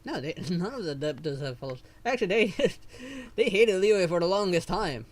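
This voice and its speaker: A man's voice: high-pitched, expressive, a little fast, no echo. Sarcastic male game streamer. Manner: sarcastic, blunt asides. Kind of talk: casual reactive game commentary.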